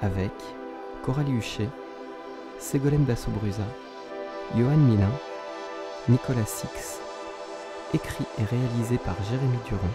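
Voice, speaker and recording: Male credits announcer over loud music. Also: medium pitch, moderate pace, pauses often. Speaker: announcer reading title and credits.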